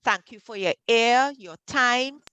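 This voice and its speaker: A woman's voice: measured pace, clear, resonant tone, no echo. Encouraging Trinidadian woman hosting a workshop. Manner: encouraging, warm sales pitch with many ums. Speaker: workshop host, health coach. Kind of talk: online workshop talk.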